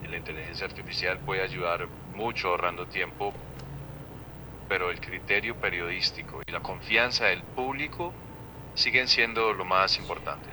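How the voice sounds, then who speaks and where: A deep, low male synthetic voice answers in calm, evenly paced explanations. His accent is neutral Latin American with a slight Colombian tint. The sound comes from a phone speaker, with clear street noise behind it. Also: normal speed. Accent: neutral Latin American Spanish leaning Colombian. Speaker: AI voice assistant.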